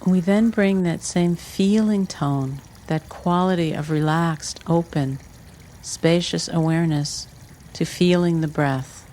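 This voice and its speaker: A meditation teacher giving guided instruction in measured, short phrases with pauses between them. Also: medium pitch, a little mellow, slight background noise. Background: trickling water ambience.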